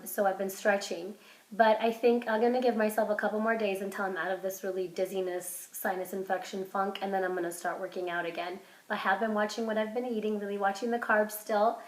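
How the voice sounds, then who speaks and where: A woman vlogging casually while sick with a cold, her voice hoarse and congested. She rambles with lots of fillers and restarts, keeping an upbeat, self-encouraging tone. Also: medium pitch. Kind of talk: casual vlog chat. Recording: roomy echo.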